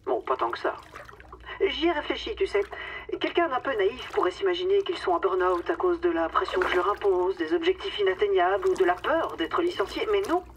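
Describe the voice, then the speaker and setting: A woman's voice: medium pitch, expressive, fairly quick, far from the mic, noisy background, close to an everyday voice. An actress playing a domineering, cynical female boss in a satirical radio drama, condescending and theatrical, with mock sweetness. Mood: gleeful, cynical.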